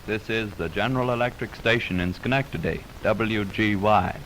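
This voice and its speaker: A man's voice: lowish voice, normal speed, fairly bright tone. A man giving a formal 1920s radio station identification as the announcer. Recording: noisy, distant old 1929 radio aircheck.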